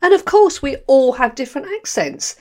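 A woman's voice: high voice, normal speed. An older woman with a London accent hosting her podcast, talking candidly with frequent laughs. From London, England. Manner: candid, animated, laughs often. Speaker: podcast host. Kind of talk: personal monologue.

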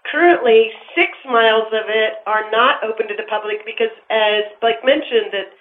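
A woman's voice: a little high, normal speed. A woman speaking as a park official in an interview, explaining things in an orderly way. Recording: echoey, tinny phone line.

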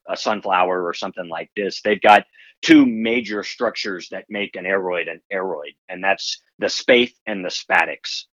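A man being interviewed as a guest, explaining plant growing in a relaxed way with many restarts. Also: fairly low pitch, dry recording, lo-fi sound. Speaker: podcast guest, plant grower. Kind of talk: casual interview talk.

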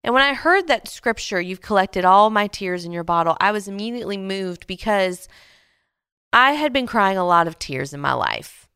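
A woman in her late twenties hosting a devotional podcast, speaking gently and earnestly with soft pauses, her voice a little stuffy from a cold. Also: mid-range voice, expressive, clear, resonant tone, no room sound, clean studio sound. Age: late 20s. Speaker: podcast host. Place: Houston, Texas.

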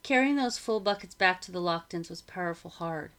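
Low, creaky-voiced woman reading a story. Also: animated, a bit bright, a little hiss or hum. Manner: measured read-aloud delivery. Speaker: audiobook reader. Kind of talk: scripted story narration.

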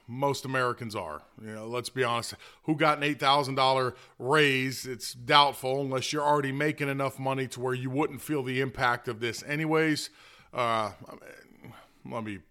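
A man's voice: mid-range voice, sharp tone. A man hosting a political talk show, delivering opinionated conservative commentary in a plain-spoken, conversational monologue.

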